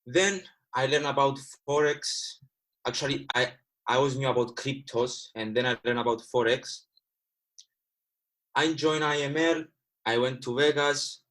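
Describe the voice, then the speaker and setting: A man's voice: medium pitch, steady tone, a little slow, fairly bright tone. A man in his twenties from Cyprus whose first language is Greek, speaking casually and earnestly to livestream viewers as a guest trader. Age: 20s. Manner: earnest, repeats himself, addresses viewers as guys.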